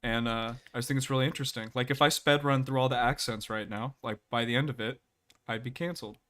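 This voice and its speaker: A man's voice: mid-range voice, fairly even intonation, fast, fairly bright tone. Sleepy guy rambling on a call, um and uh everywhere. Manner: hesitant, rambling, lots of fillers. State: groggy. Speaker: panelist. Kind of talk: casual online panel chat.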